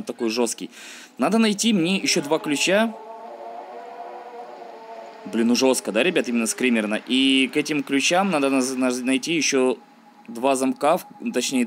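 A man's voice: medium pitch, normal speed, a little hiss or hum. A man giving casual, slangy let's-play commentary, thinking aloud and grumbling as he plays. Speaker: gaming video host.

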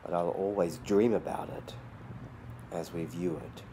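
A man in his forties reciting poetry in a measured, deliberate way, recorded on a phone outdoors. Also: low-pitched, fairly even intonation, warm tone. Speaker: vlogger reading poetry. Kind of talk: slow poetry reading. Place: outdoors around a city harbour. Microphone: phone at arm's length. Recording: outdoor phone video, clear ambient street noise.

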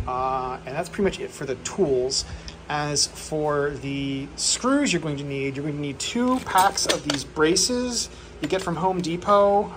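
A man's voice: fairly high pitch, noisy background. A man filming a casual, offhand walkthrough of his home workshop, joking at his own expense. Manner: offhand and self-deprecating, with fillers. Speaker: channel host.

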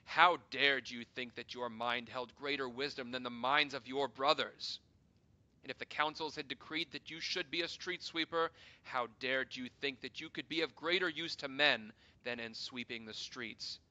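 A stern council elder thunders his judgment in a storybook reading, as a man puts him on. His voice is bright and loud, slow and weighty on each charge. He sounds cold and full of scorn. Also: mid-range voice, steady tone. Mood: accusing. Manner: loud, accusing, slow and deliberate.

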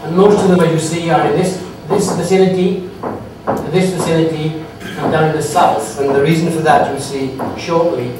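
Brief: middle-aged man, Welsh accent with Swedish tinge, slightly high voice, halting lecture delivery with dry asides, captured from far off in a very echoey hall. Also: fairly even intonation, background noise. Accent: Welsh with Swedish influence. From Wales. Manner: halting, many uh fillers, dry humor. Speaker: forensic science lecturer. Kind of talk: conference lecture. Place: conference hall.

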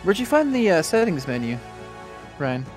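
A man's voice: a little high, sing-song, normal speed, soft background music. A man chatting casually as he co-commentates on a video game stream.

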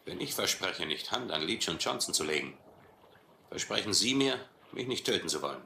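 A deep, sardonic sea captain. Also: male voice, big pitch swings, moderate pace, vocal fry, pushed and bright, full character voice. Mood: mocking. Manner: sardonic, condescending, commanding. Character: domineering sea captain, mocking, brutal, intellectual bully. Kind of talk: German audio adventure drama acting. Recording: roomy old radio-play recording, faint noise.

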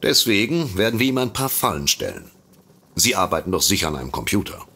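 Casting: sarcastic, self-important detective for comic radio play; very low, forceful, raspy, grumpy. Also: male voice, sing-song, brisk, full character voice. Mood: brisk, businesslike. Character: vain, grumpy, sardonic male detective and would-be writer. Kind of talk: comedic audio drama acting.

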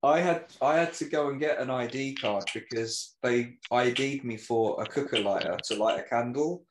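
A man, chatting in a relaxed, rambling way on a podcast. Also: mid-range voice, moderate pace. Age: 40s. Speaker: podcast co-host. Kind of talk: casual podcast chat. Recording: echoey room with faint noise.

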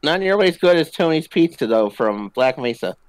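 Jokey, animated man with a higher voice. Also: a little fast, no room sound, rough recording. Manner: jokey, often chuckling as he talks. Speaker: co-op gaming video player. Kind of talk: casual joking gaming banter.

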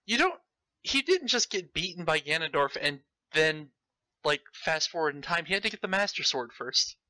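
A man's voice: on the high side, big pitch swings, normal speed, bright, forceful tone, no room sound. A man reading fan fiction aloud with theatrical, mocking delivery and breaking off to comment. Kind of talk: comedic dramatic read-aloud with commentary.

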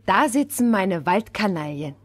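An actress playing a wild, bossy young robber girl with a husky voice in a fairy-tale audio play. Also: medium pitch, sing-song, a little slow, no room sound.

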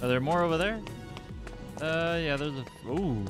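A man in his twenties chatting casually with his livestream chat while playing, laid-back and quick to laugh. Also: fairly high pitch. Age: 20s. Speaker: livestream gamer. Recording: game music loud behind. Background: game music.

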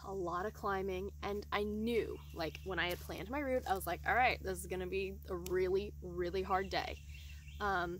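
A young woman telling a personal story casually and expressively, acting out the dialogue as she goes. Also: medium pitch. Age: young adult. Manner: chatty, expressive, acts out quoted dialogue. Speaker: vlogger telling a hiking testimony. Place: outdoors in a pine forest.

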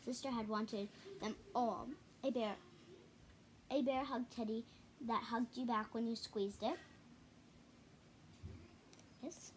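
A girl of about ten to twelve with a fairly high voice reads a picture book aloud. She goes haltingly, repeating words and stepping out for casual comments. It is a home camera recording in a living room, with some noise in the background. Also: fairly even intonation. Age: child, about ten to twelve. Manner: halting reading with stumbles and repeats. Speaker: storybook reader.

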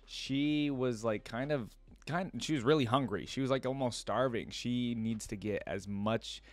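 A man's voice: medium pitch, unhurried. A young adult man giving casual reaction commentary as the host of a video. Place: indoor desk setup. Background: game theme music.

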